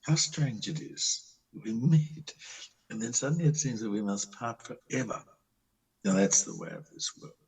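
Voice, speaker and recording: A man playing a disillusioned, lovelorn country doctor in an amateur play reading, with a husky voice and a theatrical, halting delivery. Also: fairly low pitch, sing-song, a little mellow, distant mic, heavily acted, poor audio. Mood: wistful.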